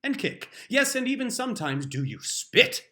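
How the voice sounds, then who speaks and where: A man's voice: extremely high pitch, big pitch swings, normal speed, sharp tone, pitched above the performer's own voice, full character voice. A man performing a comic reading as a stern, exasperated father who proclaims household laws in pompous, solemn, mock-scriptural style. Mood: exasperated, thundering. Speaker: reader performing a humorous essay.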